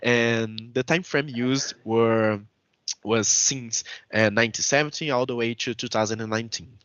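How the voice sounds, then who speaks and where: A man's voice: medium pitch, fairly even intonation, moderate pace, a bit bright, clear, resonant tone, no room sound. Young Brazilian man, Portuguese accent, presenting research over a dry, muffled video call. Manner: careful, hesitant, stops to rephrase. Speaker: conference presenter. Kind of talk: academic paper presentation.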